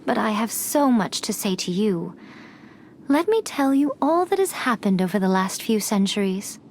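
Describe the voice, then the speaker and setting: A woman voicing a sly, teasing, centuries-old fox envoy in a video game, speaking in a measured, theatrical way. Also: medium pitch, big pitch swings, a little hiss or hum. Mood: playful, teasing. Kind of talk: video game cutscene voice acting.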